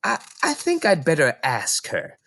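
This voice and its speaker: A man voicing a kindly, concerned woman librarian with a gentle, encouraging manner in a children's storybook reading. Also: a little high, huge pitch swings, measured pace, creaky, dry recording, voice pushed up, full character voice.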